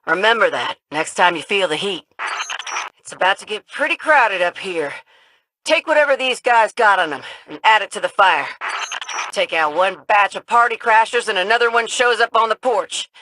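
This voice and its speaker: Bright-voiced woman, folksy and battle-ready game guide, some background noise. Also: mid-range voice, expressive, moderate pace, poor audio. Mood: reflective, warm. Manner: folksy, informal drawl. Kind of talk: video game mission voice lines.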